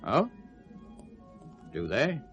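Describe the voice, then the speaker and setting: Offhand British man, swooping pitch. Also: wildly swooping pitch, drawn-out words, a bit bright, dull audio, faint music behind, heavily acted. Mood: offhand, uneasy. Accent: British English. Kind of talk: 1970s British radio drama acting.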